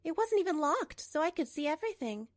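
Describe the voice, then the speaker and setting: High-voiced, coolly resolute betrayed wife played by a woman. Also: very animated, a little fast, dry recording, clean studio sound. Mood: coolly sarcastic. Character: betrayed wife, composed, coolly resolute, narrating her story. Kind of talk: scripted manga dub narration and dialogue.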